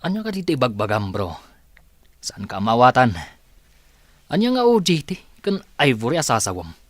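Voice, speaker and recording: Domineering Filipino boss, animated. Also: male voice, mid-range voice, moderate pace, no room sound. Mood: indignant. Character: domineering, short-tempered male company boss and husband. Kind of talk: Filipino radio drama acting.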